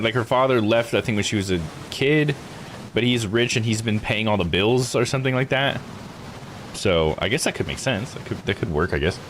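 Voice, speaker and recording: A man's voice: on the low side, fairly bright tone, slight background noise. A man giving casual live game commentary, joking, slangy and thinking aloud. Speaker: video game streamer.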